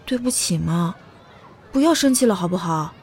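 A woman playing a gentle, easily flustered young woman who dotes on a child in an audio drama, soft and hesitant. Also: fairly low pitch, lively intonation, normal speed, some chatter behind, slight background noise. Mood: coaxing. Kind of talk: Chinese audio drama acting.

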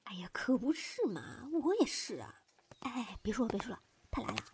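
An actress playing a sorrowful, soft-spoken office worker in an audio drama, her voice breathy and hushed. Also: fairly high pitch, lively intonation, a little fast, background noise. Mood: hushed, uneasy. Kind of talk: Chinese audio drama acting.

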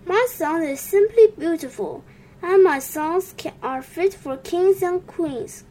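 A bragging little male tree frog, sure his song is fit for royalty, talking in a high, bright, lifted voice, as a woman reads him aloud. He speaks slowly and grandly, savoring every boast, in a storybook telling. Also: slight background noise. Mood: boastful. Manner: bragging, then plaintive.

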